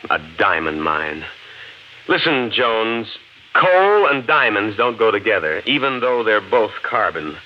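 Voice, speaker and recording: An actor playing a blunt, skeptical, protective husband with an American accent in 1950s radio drama style. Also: male voice, fairly low pitch, very animated, a little slow. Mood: suspicious, indignant. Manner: blunt, challenging. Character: skeptical, protective husband, ordinary level-headed American man. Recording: dull, noisy 1950s radio recording.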